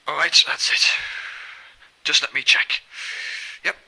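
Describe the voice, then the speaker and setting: Man acting a slow-talking, jittery British astronaut. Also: bright, forceful tone. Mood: methodical, muttering. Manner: talks to himself, halting, muttering. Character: lonely, jittery British male astronaut stranded on moon. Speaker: voice actor. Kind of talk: internet radio drama monologue.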